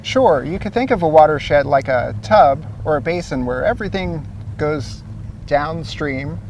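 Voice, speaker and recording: A man's voice: a little high, animated, a little slow, a little mellow, far from the mic, slight background noise. Easygoing man explaining outdoors by a lake. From Ohio. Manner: easygoing, explains step by step with fillers. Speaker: source water protection coordinator, guest. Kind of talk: explanatory interview answers.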